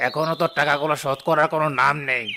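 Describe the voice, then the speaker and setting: A man's voice: a little high, steady tone, very fast, sharp tone, a little hiss or hum. A man playing a bossy, miserly, rich older village chief in a cartoon, speaking in a commanding and condescending way. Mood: domineering. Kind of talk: Bengali cartoon voice acting.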